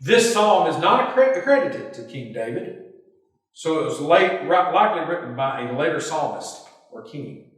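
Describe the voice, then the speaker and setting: A man, a pastor, delivering a scripted devotional sermon in measured, emphatic phrases. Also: on the high side, sing-song. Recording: very echoey hall, distant mic.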